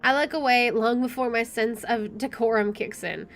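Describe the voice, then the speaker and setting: A woman's voice: fairly high pitch, fairly even intonation, moderate pace. A woman let's player reading a visual novel aloud expressively with chatty asides, game music playing behind her.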